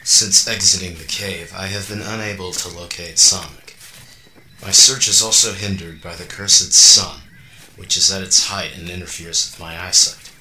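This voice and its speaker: A man's voice: deep voice, fairly even intonation, moderate pace, sharp tone, slight background noise, rough recording. A man playing a formal, clinical half-robot hunter recording a log in an amateur radio drama. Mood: cold, clinical. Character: Shadow, cold formal amnesiac half-robot male hunter.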